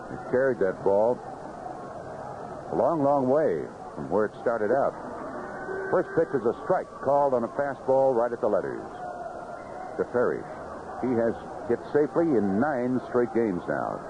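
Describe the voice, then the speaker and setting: Animated vintage radio baseball announcer, man. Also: mid-range voice, a little slow, long pauses, dull audio. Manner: clipped phrases, vivid descriptive calls. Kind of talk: 1970s radio baseball commentary. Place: ballpark broadcast booth. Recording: noisy, band-limited 1978 AM radio broadcast.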